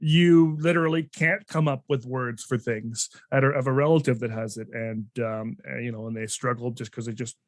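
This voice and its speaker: A man hosting a podcast in casual, rambling talk, stopping and restarting his sentences often. His voice sits at an ordinary pitch for a man. It is recorded close to the mic with a dry, dead sound. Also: steady tone, moderate pace, few pauses, no echo. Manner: rambling, full of restarts and fillers. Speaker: podcast host. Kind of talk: casual conversational interview.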